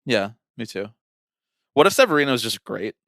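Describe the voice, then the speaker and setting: A man co-hosting a podcast, talking casually about sports in an animated, wry, conversational way. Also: medium pitch, fairly quick, gravelly, no echo, clean studio sound. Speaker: podcast co-host.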